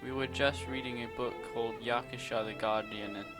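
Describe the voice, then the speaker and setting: A woman's voice: extremely low pitch, steady tone, brisk, music in the background. A woman giving casual gameplay commentary as a streamer, playful and joking. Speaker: gaming streamer.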